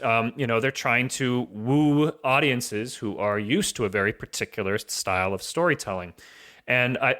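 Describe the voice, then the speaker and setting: A man with a sharp, bright voice at an average pitch talks casually as a podcast guest. He explains deliberately, often repeating how a sentence begins. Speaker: audio drama creator, podcast guest.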